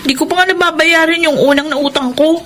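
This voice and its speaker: A woman's voice: high-pitched, quick pace, a bit bright, a little hiss or hum. A woman playing a long-suffering young wife and mother in a Filipino radio drama, plaintive and pleading. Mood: worried, pleading.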